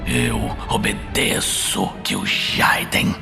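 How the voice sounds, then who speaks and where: A scheming orc warlock in a fantasy audio drama. He is defiant and desperate, with a strained delivery. Clear music plays behind him. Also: a bass voice, big pitch swings, extremely slow, big-room sound, voice pushed down, heavily acted. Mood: grim submission.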